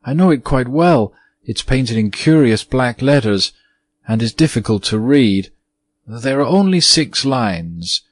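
A gentle little girl speaks earnestly in a lifted, soft voice, as a man reading the story puts her on. Her lines come quietly and with care. The sound is close and dry. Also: animated, unhurried, no echo. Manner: soft, earnest, raised pitch.

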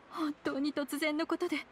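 A woman's voice: sits high, fairly bright tone, slight background noise, full character voice. A woman playing an anxious, polite mother in a fantasy anime game, speaking hesitantly. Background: background music.

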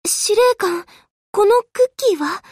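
A playful, affectionate anime girl from a game. The voice is extremely high and girlish, delivered at an unhurried pace. It sounds close and dry. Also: expressive, no echo, full character voice. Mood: touched, shy. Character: young anime warship girls, playful, earnest, affectionate. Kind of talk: Japanese anime game character voice lines.

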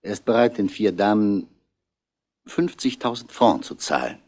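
A seasoned male police commissioner, calm and probing. His low voice moves lightly but stays measured, in the manner of vintage radio crime plays. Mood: dry, reflective. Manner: measured, direct questioning. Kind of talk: 1960s German radio crime drama acting.

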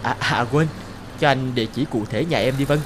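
An actor playing a courteous, devoted married office man in his thirties in a cartoon, polite and earnest in measured phrases. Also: mid-range voice, background noise, natural voice. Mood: fond, earnest. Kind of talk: cartoon dub acting.

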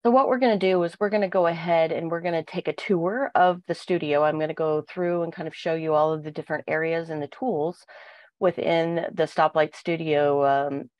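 A woman with a cold, sounding nasal, walking through software on a screen share with plenty of fillers. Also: low voice, dry recording, highs cut off. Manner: steady explaining with frequent fillers. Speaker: product trainer. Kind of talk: software walkthrough.

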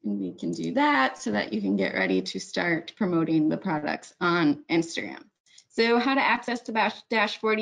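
A woman's voice: mid-range voice, a bit bright. A woman walking viewers through a website in a webinar, chatty and correcting herself often. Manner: chatty, self-correcting, many ums. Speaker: webinar presenter. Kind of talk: screen-share webinar walkthrough.

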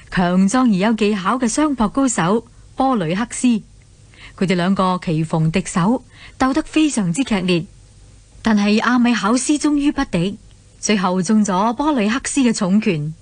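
A woman's voice: mid-range voice, no room sound. A woman with a Hong Kong accent, narrating a story dramatically as in a scripted radio drama.

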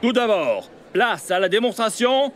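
Man acting high-pitched, pompous old cartoon mayor. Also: very animated, crowd noise. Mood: grandiose, ceremonial. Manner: grandiose, drawn-out announcer delivery. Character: pompous older male town mayor hosting a contest. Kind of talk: theatrical cartoon announcing in French.

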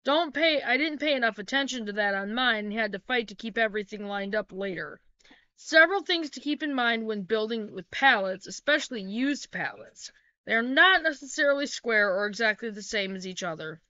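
A middle-aged woman reads her how-to notes in a bright, forceful, fairly high voice, practical and plain, now and then correcting herself mid-phrase. She sits at a laptop webcam in a small wood-paneled room. The sound is dry and dull, lo-fi. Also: animated, brisk, clear, resonant tone, no room sound. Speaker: homesteading vlogger. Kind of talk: reading a how-to narration.

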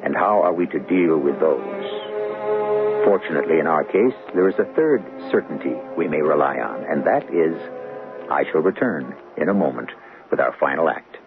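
Male radio-drama host, portentous scripted narration, deep and expressive, muffled vintage broadcast. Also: over music. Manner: measured, portentous. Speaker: host of a radio mystery series.

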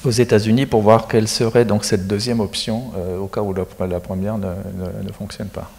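A middle-aged man with a fairly low voice explains technical matters in a matter-of-fact way. He speaks into a handheld mic on a studio set. A long reverb trails his words, as in a big hall. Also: level tone, moderate pace, creaky, a little mellow. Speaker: space agency expert guest. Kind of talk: studio interview.

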